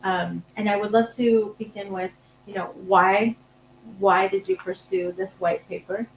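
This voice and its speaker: Woman moderator, halting questions. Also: mid-range voice, animated, creaky. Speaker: panel moderator. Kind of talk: moderating a panel. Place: conference panel room. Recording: echoey room, faint hum.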